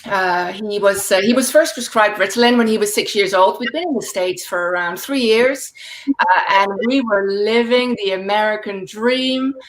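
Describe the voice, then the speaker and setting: A woman in her forties, raised speaking Dutch in the Netherlands, doing a stand-up set over a video call with dry deadpan delivery and laughing asides. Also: medium pitch, normal speed, low-quality recording. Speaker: stand-up comedian.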